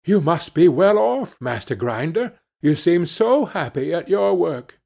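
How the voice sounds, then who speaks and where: Man playing a gleeful, naive country lad, very lively. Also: on the high side, a little slow, vocal fry, voice pushed up, full character voice. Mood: admiring. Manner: exclamatory, earnest, gleeful. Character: cheerful, naive young peasant lad, easily pleased. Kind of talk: fairy-tale storybook character reading.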